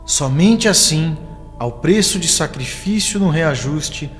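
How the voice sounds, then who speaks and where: A man playing a compassionate, fatherly spirit mentor in an audio novel, delivering a solemn, measured, pleading exhortation. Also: fairly high pitch, animated. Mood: solemn, imploring. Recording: long reverberant hall with music behind. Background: music.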